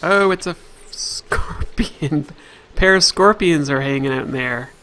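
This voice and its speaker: A man's voice: a little high, a little slow, sharp tone. A man hosting a let's play, chatting casually and thinking aloud as he plays. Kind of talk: casual gameplay commentary.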